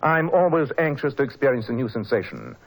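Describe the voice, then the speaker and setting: An actor playing a shrewd, composed gentleman detective in an old radio drama, precise and inquisitive. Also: medium pitch, huge pitch swings, brisk, creaky, exaggerated voice, rough recording. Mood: composed, probing.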